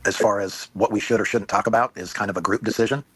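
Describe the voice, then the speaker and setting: A man's voice: on the low side, fairly even intonation, fast, fairly bright tone, a little hiss or hum, low-quality recording. A man talking as a panelist in a casual online discussion.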